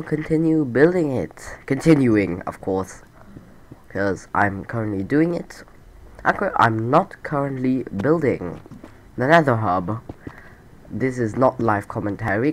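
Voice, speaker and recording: A man's voice: mid-range voice, a little hiss or hum. A man hosting a gaming channel, giving casual voice-over commentary over sped-up gameplay in a rambling, chatty way and correcting himself mid-sentence.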